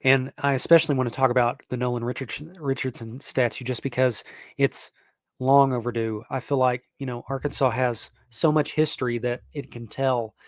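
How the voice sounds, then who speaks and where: A man's voice: medium pitch, fairly even intonation, normal speed, no echo, clean studio sound. A young man, hosting a casual sports live stream and rambling with fillers and restarts. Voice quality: nasal.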